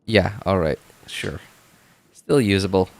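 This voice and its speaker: A man's voice: deep voice, slow pace, creaky. A man chatting casually on a game livestream, thinking aloud in a rambling way and often repeating himself. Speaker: game streamer. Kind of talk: casual livestream chat while playing.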